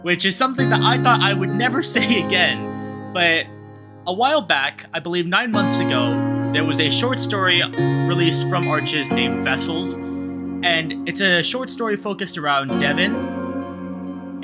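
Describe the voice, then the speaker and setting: An upbeat, excitable man hosting a visual novel playthrough, talking to his viewers as he goes. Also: sits high, a little slow. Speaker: host of a video game let's-play channel. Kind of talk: enthusiastic visual novel playthrough commentary with dramatic read-aloud narration. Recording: music playing behind the voice.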